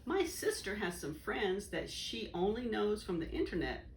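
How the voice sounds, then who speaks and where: A woman reading a children's educational book aloud in a deliberate, instructive way. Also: medium pitch, big pitch swings. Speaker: volunteer story reader. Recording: roomy echo, faint background noise.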